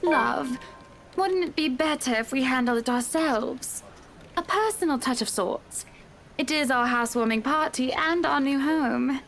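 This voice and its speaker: A woman's voice: sits high, a little slow, a bit bright, a little hiss or hum. A woman voicing a wealthy, genteel married lady in a visual novel, breezy, gracious and sweet.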